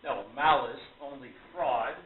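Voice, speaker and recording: A woman's voice: extremely low pitch, a little slow. A woman playing a scornful, triumphant male magician in a radio play, declamatory and mocking. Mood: exasperated. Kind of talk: stage radio play acting. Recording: tinny, echoey, distant with crowd noise.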